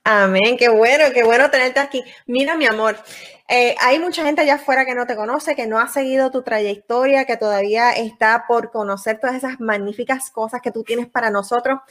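A woman hosts a podcast interview with a fairly high voice. She greets her guest effusively and leads the conversation warmly with open questions. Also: fairly quick. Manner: warm and effusive. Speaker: podcast host. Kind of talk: casual interview.

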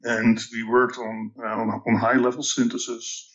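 A man in his sixties with a Dutch accent tells his story slowly and carefully, pausing often with ums and restarting his sentences. His voice sits at an average pitch. The recording sounds a little dull and muffled. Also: level tone, a bit bright. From Netherlands. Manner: measured, hesitant with many ums and restarts. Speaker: guest. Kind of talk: reflective career storytelling.

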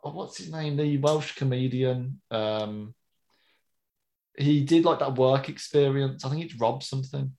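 A man's voice: mid-range voice, measured pace, vocal fry, slight background noise. A man, co-hosting a podcast in casual chat, reading listener mail and laughing easily. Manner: relaxed, chatty, often laughing. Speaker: podcast co-host.

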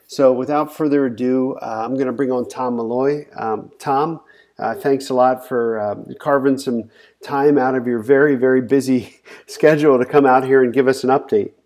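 A man's voice: medium pitch, steady tone, moderate pace, a little mellow. A man hosting a podcast, introducing his guest in a loose, casual way full of fillers. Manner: loose, filler-heavy, friendly setup. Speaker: podcast host.

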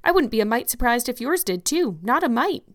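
A woman's voice: a little high, sing-song, voice pushed up. A woman doing a hearty, outspoken old village busybody. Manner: hearty, brisk, folksy.

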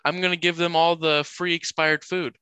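A man co-hosting a podcast in casual chat, jokey and halting, laughing often. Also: on the high side, flat delivery, pushed and bright, clear, resonant tone, no echo, low-quality recording. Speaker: podcast co-host.

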